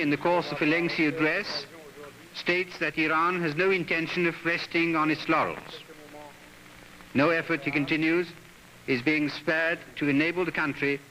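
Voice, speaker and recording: A man's voice: fairly high pitch, bright, forceful tone, poor audio. A man narrating a 1960s newsreel in a formal, stately, declamatory manner. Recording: 1960s newsreel soundtrack with music. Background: background music.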